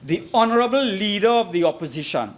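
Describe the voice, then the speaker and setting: A man's voice: sits high, lively intonation, brisk. Emphatic Trinidadian man debating in parliament. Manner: emphatic, oratorical, repeats phrases for effect. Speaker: opposition member of parliament. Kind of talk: formal parliamentary debate speech. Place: parliament chamber.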